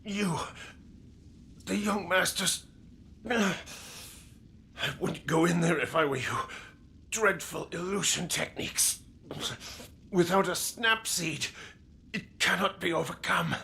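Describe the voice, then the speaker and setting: An actor playing an earnest, solemn warrior retainer in a video game cutscene, with a gravelly, emphatic delivery. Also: male voice, high voice, slow pace, long pauses, bright, forceful tone, heavily acted. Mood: grave, warning. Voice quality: gravelly, creaky.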